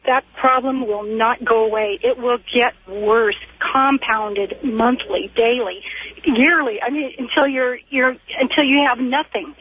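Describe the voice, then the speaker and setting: A woman's voice: fairly high pitch, a little slow, slight background noise. An emphatic woman calling a radio show by phone to give insistent, opinionated advice, often repeating words. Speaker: call-in radio caller. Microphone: telephone. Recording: tinny, narrow phone line on radio.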